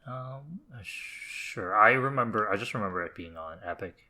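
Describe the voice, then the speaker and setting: A man's voice: lowish voice, expressive, moderate pace, a crowd in the background. A man co-hosting a podcast, talking casually and informally with a teasing manner. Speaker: podcast co-host. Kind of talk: casual chat about video games.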